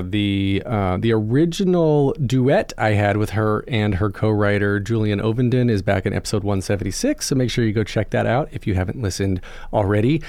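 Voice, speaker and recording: A man hosting a podcast, his voice husky and hoarse from a cold, chatty with frequent restarts. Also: lowish voice. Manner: chatty, restarts, lively intro plugs. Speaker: podcast host. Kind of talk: casual podcast chat and intro.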